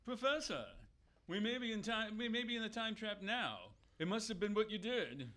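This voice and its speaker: A man acting as an edgy, accusing scientist in a radio play. His voice is high for a man, and his manner is urgent and curt. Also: normal speed, bright, forceful tone. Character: agitated, accusing male scientist. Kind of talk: scripted audio drama acting.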